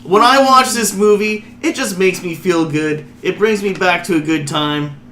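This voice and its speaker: A man hosts a casual movie review chat, playful and a little rambling as he trips over his words. His voice is bright and pushed, sitting fairly high for a man. It sounds like a roomy space with a faint hum behind him. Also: normal speed. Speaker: podcast host.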